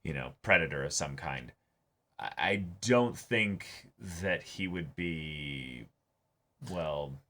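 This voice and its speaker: Animated, bright-voiced man reacting casually. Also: very deep voice, slow. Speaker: reaction show host.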